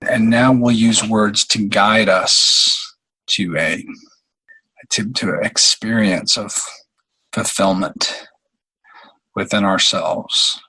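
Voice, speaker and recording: A man leading a guided meditation in a halting, unhurried way, with long pauses between phrases. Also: lowish voice, fairly even intonation, bright, forceful tone, husky, poor audio.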